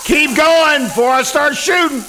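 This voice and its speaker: A man's voice: extremely high pitch, measured pace, sharp tone, exaggerated voice. A man playing a nervous, protective husband in an old-time radio suspense drama, urgent and dramatic. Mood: alarmed, threatening. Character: nervous husband trying to protect his wife. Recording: live performance, noisy sizzling storm background.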